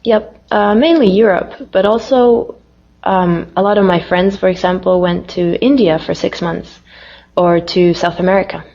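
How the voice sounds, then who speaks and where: A woman's voice: on the low side, fairly even intonation, normal speed, darkish tone. Easygoing woman, lo-fi sound. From Finland. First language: Finnish. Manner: friendly, easygoing, with frequent fillers. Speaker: interviewee. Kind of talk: casual interview answers.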